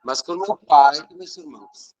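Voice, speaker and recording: Man, Uruguayan Spanish accent, native Spanish speaker, fairly high pitch, earnest, reflective, storytelling. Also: a little mellow, highs cut off. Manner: earnest, reflective, occasional halting restarts. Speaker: guest, religious leader. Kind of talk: emotional personal storytelling.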